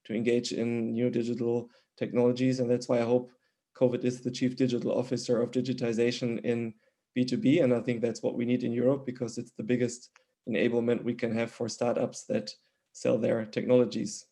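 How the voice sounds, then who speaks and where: A man's voice: medium pitch, completely flat delivery, fairly quick, dark tone. A man giving his view as a guest on a panel. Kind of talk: panel remarks.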